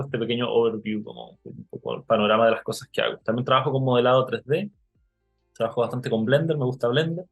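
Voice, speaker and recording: Young Chilean man, mid-pitched, hesitant presenter on a call, full of "eh" fillers; faint music underneath. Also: gravelly. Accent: Chilean Spanish. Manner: hesitant, many fillers and restarts. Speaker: artist giving an online talk. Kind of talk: live online presentation.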